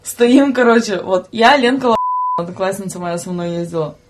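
A woman's voice: mid-range voice, normal speed, background noise. A young adult woman, a guest in a casual chat, telling stories in slangy speech and acting out what was said. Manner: animated, slangy, giggly storytelling with acted-out quotes. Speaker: podcast guest, student train attendant.

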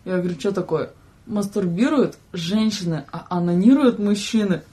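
A woman's voice: mid-range voice, moderate pace, mellow. A young woman chatting casually as a podcast guest, blunt, slangy and joking. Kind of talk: casual chat.

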